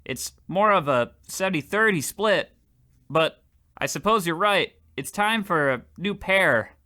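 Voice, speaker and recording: A man plays a hapless pauper from an old-time radio comedy. His voice is high and bright, with a sharp edge. He stammers along in an earnest, flustered, meekly hopeful way. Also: lively intonation, measured pace, heavily acted. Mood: flustered, stubborn. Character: poor, meek, cheerful, hapless man with worn-out boots.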